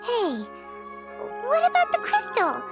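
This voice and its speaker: Super high squeaky little fairy sidekick, excitable n confused, bouncy pitch, game music behind. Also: female voice, takes it slowly, exaggerated voice. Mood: urgent. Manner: refers to herself in the third person, exclamatory. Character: small childlike fairy companion, excitable and easily confused.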